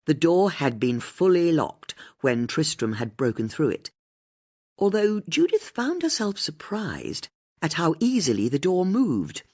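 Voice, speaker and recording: A woman with a husky voice, reading a mystery audiobook as scripted narration. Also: deep voice, huge pitch swings, measured pace, darkish tone.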